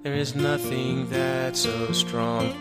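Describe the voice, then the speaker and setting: Woman acting as devoted female colonel, low slow pleading voice, audio drama musical with guitar behind. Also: steady tone. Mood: pleading, grieving. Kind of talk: musical fan audio drama, sung and spoken. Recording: loud guitar music under the voice.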